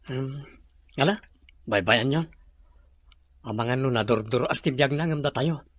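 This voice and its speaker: A man's voice: mid-range voice, a little slow, a bit bright, no echo, studio quality. An actor playing a worried, reluctant son who answers his mother in a subdued way in a radio drama. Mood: worried, resigned. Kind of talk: Ilocano radio drama acting.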